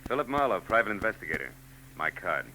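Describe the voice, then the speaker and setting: A man's voice: fairly low pitch, big pitch swings, quick pace, pushed and bright, exaggerated voice, rough recording. An actor playing a hard-boiled male private eye who narrates and trades wisecracks, in a 1940s radio drama. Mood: sardonic. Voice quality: dry, wisecracking. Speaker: narrator and lead. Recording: old radio broadcast tape with faint noise.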